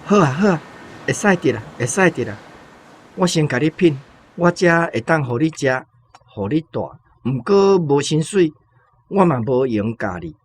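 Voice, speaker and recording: A man plays a blunt, thrifty shop boss in a Taiwanese radio drama. His voice sits a little high, with a curt, offhand delivery and a Taiwanese accent. Faint noise lies behind it. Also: expressive, normal speed, close to an everyday voice. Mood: brisk, blunt.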